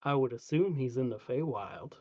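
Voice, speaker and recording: A man voicing a bold, formal adventurer in a tabletop game, measured and defiant. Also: mid-range voice, lively intonation, darkish tone, no echo, dull audio, natural voice. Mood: guarded. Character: bold, formal male adventurer challenging cultists.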